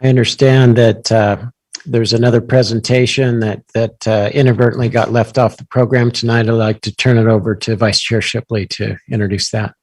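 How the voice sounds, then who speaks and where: A man's voice: mid-range voice, little pitch movement, normal speed, a little mellow, no echo. A man formally chairing a council meeting.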